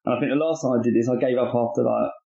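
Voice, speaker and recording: A man's voice: mid-range voice, steady tone, rapid-fire, vocal fry, mellow. A man co-hosting a podcast, talking hesitantly with many fillers. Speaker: podcast co-host. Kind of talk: casual chat. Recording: lo-fi echoey room.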